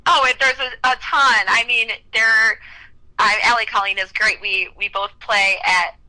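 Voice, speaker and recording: Bright, bubbly young woman on a phone line. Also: on the high side, fairly even intonation, measured pace. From Cleveland, Ohio. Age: young adult. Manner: bubbly, enthusiastic, laughs easily. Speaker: country singer, guest. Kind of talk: casual interview conversation.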